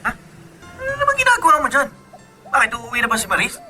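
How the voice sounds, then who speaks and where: An actor with a Filipino accent playing a nosy, teasing young buddy in a radio drama. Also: male voice, extremely high pitch, huge pitch swings, fast, pushed and bright, full character voice. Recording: music bed behind voice.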